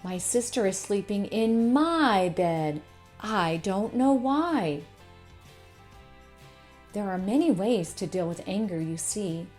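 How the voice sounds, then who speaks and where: A woman's voice: medium pitch, a little mellow, natural voice. Woman reading as a wise, grumpy parrot. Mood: irritated. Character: grumpy colorful male parrot turned wise adviser. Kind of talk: children's storybook read-aloud.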